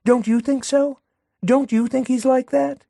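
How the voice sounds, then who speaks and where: A man's voice: extremely high pitch, fairly even intonation, measured pace, gravelly, dark tone, no echo, studio quality, voice pushed up, heavily acted. A man voicing a coy, jealous young woman who sweet-talks her lover and gossips with a catty edge.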